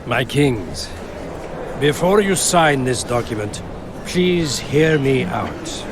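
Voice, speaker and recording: Slow, solemn ambassador, noisy. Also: male voice, medium pitch, expressive. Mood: insistent. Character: solemn, insistent foreign ambassador at a royal council. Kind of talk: dramatic game acting.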